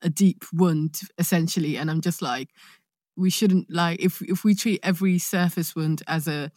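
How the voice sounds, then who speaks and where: Low-voiced London woman chatting casually. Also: normal speed, a little mellow, dry recording, clean studio sound. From London, England, born in Nigeria. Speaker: podcast guest, actor. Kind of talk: casual chat.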